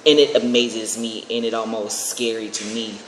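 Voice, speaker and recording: The recording is very echoey, with faint noise behind it. An American man with a fairly low voice talks informally, as if giving a personal vlog update. He rambles, repeating and restarting phrases as he goes. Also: level tone, moderate pace. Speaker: aspiring singer and video creator. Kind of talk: casual personal vlog announcement.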